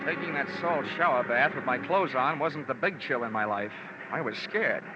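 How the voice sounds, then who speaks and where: A man's voice: mid-range voice, normal speed, dull audio, heavy background noise, close to an everyday voice. An actor playing a hard-boiled American insurance investigator, narrating his case in a wry, clipped, wisecracking way in vintage radio drama. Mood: tense. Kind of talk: 1940s-50s radio detective narration. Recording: band-limited old radio recording with music.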